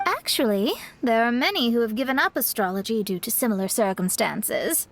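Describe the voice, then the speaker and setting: High, lively, haughty young genius astrologer. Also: female voice, fairly quick. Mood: smug. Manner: boastful, theatrical, haughty. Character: proud, easily embarrassed young woman astrologer genius. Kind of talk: anime-style video game voice acting.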